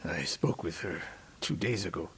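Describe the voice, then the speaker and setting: An actor playing a proud, regretful older samurai master in a video game, speaking gravely in clipped, defensive phrases. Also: male voice, low voice, moderate pace, a bit bright, a little hiss or hum. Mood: bitter regret. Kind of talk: dramatic video game acting. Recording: music behind the voice. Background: music.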